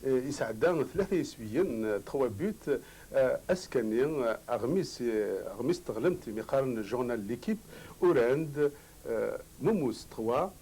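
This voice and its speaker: A man's voice: mid-range voice, lively intonation, a little slow, mellow, slightly rough. A man interviewing a former footballer, asking long, hesitant, rambling questions.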